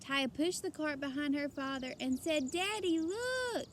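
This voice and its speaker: A woman's voice: high-pitched, level tone, moderate pace, a little hiss or hum. A woman reading a children's story aloud expressively and emphatically, like a teacher addressing her young listeners.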